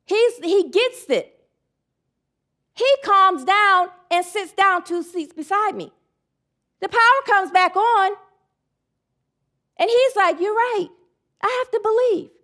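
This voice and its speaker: A woman's voice: extremely high pitch, slow, long pauses, fairly bright tone. A woman preaching a sermon, rambling a little and leading call-and-response affirmations.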